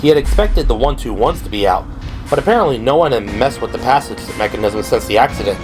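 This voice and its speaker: A man's voice: mid-range voice, lively intonation, fast, poor audio. A man delivering scripted, dramatic narration for an audio drama. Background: dramatic background music.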